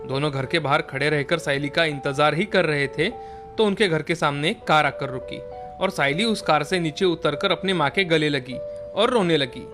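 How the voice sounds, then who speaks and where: A man with a slightly high voice narrates a scripted moral story for a children's cartoon. Soft music plays faintly behind him as he tells the tale. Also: moderate pace.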